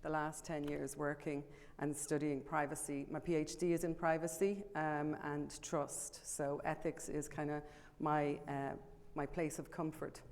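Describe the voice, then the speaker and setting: A woman's voice: deep voice, level tone, a little slow, a little mellow. Dublin Irish woman presenting in a hall. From Ireland. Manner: chatty and self-deprecating, many ums. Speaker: conference presenter. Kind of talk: live conference talk. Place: large conference room. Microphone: podium microphone. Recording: long hall reverb, faint room noise.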